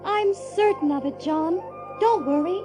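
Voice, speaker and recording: A woman playing a reassuring, gently bossy young girl with motherly ways in an audio drama. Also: extremely high pitch, unhurried, music in the background. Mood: eager, reassuring. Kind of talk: theatrical audio drama acting.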